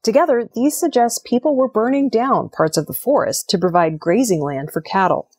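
A woman presenting scripted news narration in a measured, explanatory way. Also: fairly high pitch, a little mellow, husky.

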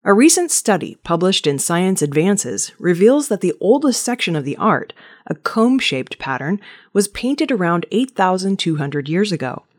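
Low-pitched woman reading scripted news. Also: sing-song, moderate pace. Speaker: news reader.

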